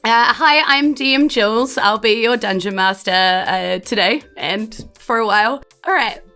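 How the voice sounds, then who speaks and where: A woman's voice: on the high side, a little slow, bright, forceful tone, clear, resonant tone, soft background music. A woman with a New Zealand accent, chatting in a bubbly, welcoming way as podcast host and dungeon master. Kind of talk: chatty podcast hosting of a tabletop game.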